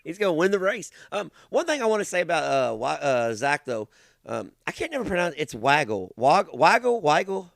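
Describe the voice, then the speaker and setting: Kentucky guy hosting a podcast, creaky gravelly voice, folksy n halting, dry close mic sound. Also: fairly high pitch, big pitch swings, fairly bright tone, no room sound. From Kentucky, United States. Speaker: podcast host, race announcer. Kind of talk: casual chat.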